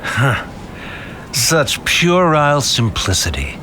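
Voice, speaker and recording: A man's voice: medium pitch, wildly swooping pitch, slow, sharp tone, slight background noise, exaggerated voice. A man playing a haughty, stern father in a video game, with a formal, disdainful manner. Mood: grudging, disdainful.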